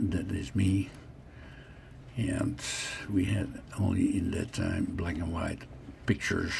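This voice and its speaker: A man in his sixties from the Netherlands, with a Dutch accent, narrates his life story over photos in short, halting phrases. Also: deep voice, gravelly, background noise. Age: 60s.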